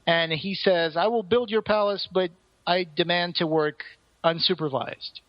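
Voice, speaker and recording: A man co-hosting a podcast, casually retelling and discussing a play in a rambling, halting, earnest way. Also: sits high, fairly even intonation, lo-fi sound. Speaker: podcast co-host.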